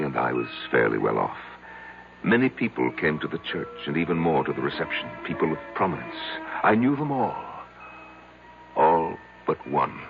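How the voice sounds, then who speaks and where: An actor playing a meek, lovestruck, haunted patient in an old-time radio drama, halting, intense and self-deprecating, with a gravelly voice. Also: male voice, a bass voice, exaggerated voice. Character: short, insignificant, lovestruck, haunted male psychiatric patient. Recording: muffled old radio recording. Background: music.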